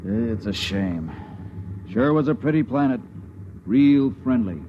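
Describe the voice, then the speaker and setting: Matter-of-fact American spaceman, medium-pitched, 1950s radio sci-fi, dull recording with faint noise. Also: animated, slow pace. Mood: regretful. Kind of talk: 1950s radio drama acting. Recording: muffled, faintly noisy old radio recording.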